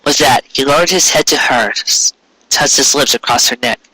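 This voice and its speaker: A man's voice: medium pitch, moderate pace, sharp tone, husky, dry recording, poor audio. A man narrating a scripted story as a dramatic fan fiction reading.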